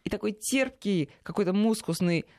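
A woman hosting a radio show in a chatty way, her voice hoarse and unwell. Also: medium pitch, moderate pace, a little mellow, dry recording, clean studio sound. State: hoarse, ailing voice. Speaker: radio host. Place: radio studio.

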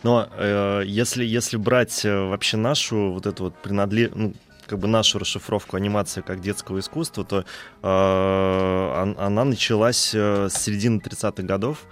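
A man speaking as an expert guest in an informal radio interview, haltingly, repeating words and hedging as he thinks aloud. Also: fairly low pitch, fairly even intonation, soft background music. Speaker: guest film critic and animation historian.

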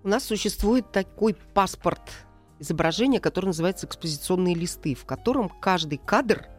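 A woman's voice: lowish voice, lively intonation, fairly quick, faint music behind. A woman speaking as an interview guest, explaining a craft step by step with examples. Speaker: guest animation director and screenwriter.

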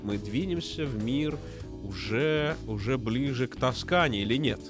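A man's voice: mid-range voice, lively intonation, unhurried, music in the background. A man hosting a live radio talk show, chatting playfully with a guest and hesitating with fillers. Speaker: radio host.

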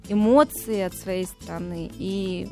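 A woman's voice: medium pitch, takes it slowly, dark tone, faint music behind. Enthusiastic woman guest with fillers. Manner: enthusiastic, hesitant with frequent fillers. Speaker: travel agency guest. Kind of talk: radio interview.

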